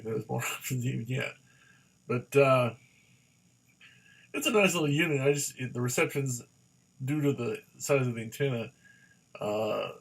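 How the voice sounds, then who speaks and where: A man talks through a gadget review in a casual, hands-on style. His voice sits at a medium pitch. He rambles a little, stopping and starting and circling back over the same points. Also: low-quality recording. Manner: halting, rambling, repeats himself. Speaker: product reviewer.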